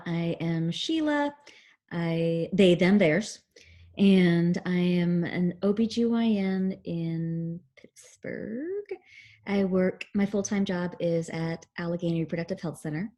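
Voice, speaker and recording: Lowish-voiced woman from oklahoma, 30s, chatting loose on a video call, lots of likes. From Oklahoma, USA. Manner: chatty, relaxed, laughs easily, many "like" fillers. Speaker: guest, physician. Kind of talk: casual interview over video call.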